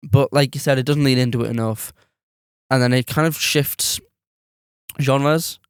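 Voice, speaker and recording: Male podcast panelist, medium pitch, casual conversational delivery, dry recording. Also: fairly even intonation, measured pace, smooth, no room sound. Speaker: podcast panelist discussing films. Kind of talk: casual chat.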